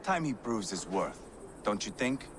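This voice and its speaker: Deep, swinging, menacing Viking brute. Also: male voice, sing-song, a little slow, background noise. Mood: bullying. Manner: sneering, menacing, mocking. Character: brutal, foul-mouthed, bullying male Viking warrior. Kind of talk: video game cutscene acting.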